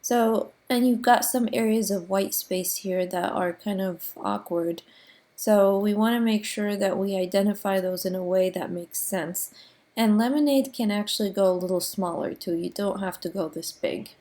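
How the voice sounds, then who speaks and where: A woman teacher giving recorded design critiques to her class, with allergies and some sniffling, talking in a relaxed way with frequent ums. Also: mid-range voice, moderate pace. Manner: relaxed, frequent ums and uhs, gently corrective.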